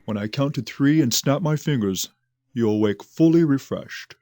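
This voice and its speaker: An actor playing a calm, reassuring hypnotist doctor in an audio drama, with a measured, soothing, commanding delivery. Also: male voice, mid-range voice, no echo, studio quality, close to an everyday voice. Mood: firm, reassuring.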